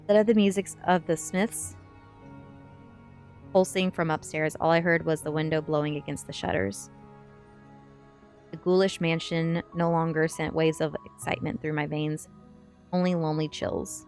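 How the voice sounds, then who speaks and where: A woman reading a first-person story aloud in a measured ASMR narration style. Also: low-pitched, steady tone, darkish tone, soft background music. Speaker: streamer reading a novel aloud.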